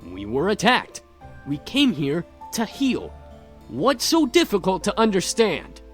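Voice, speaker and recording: Casting: gruff, confrontational young incubus, visual novel bad boy, very varied pitch, slight music bed. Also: wildly swooping pitch, a little slow, full character voice. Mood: blunt, impatient. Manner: blunt, confrontational. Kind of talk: visual novel game acting.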